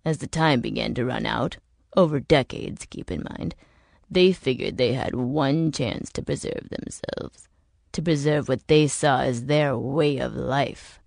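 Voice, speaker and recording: A woman voicing a bitter, world-weary older man in an audiobook, explaining grim things in a measured, emphatic way. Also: rumbling low, creaky, a little mellow, no echo, studio quality, pitched below the performer's own voice, heavily acted. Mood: grave. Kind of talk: audiobook character dialogue.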